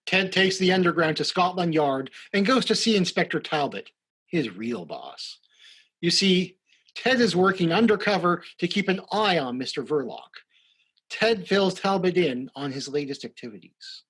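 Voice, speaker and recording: A man's voice: sits high, sing-song, moderate pace, fairly bright tone, husky, heavily acted, low-quality recording. A man narrating a live radio play in a scripted storytelling style.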